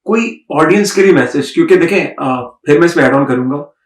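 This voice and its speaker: A man asks lively, familiar interview questions, his voice fairly high for a man. He sits away from the mic, so the sound is distant and roomy. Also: brisk. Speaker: podcast host.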